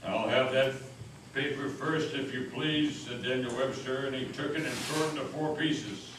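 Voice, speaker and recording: A man's voice: medium pitch, fairly even intonation, moderate pace. Man doing dramatic oratorical narration, far from mic, super echoey, bit of noise. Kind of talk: scripted dramatic story reading. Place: old meeting house, live performance. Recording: very echoey hall, distant mic, faint noise.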